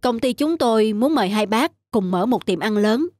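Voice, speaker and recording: A woman's voice: on the high side, animated, normal speed, natural voice. A woman voicing a courteous middle-aged male company representative in a cartoon, formal and businesslike. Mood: courteous, assured. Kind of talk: cartoon voice acting.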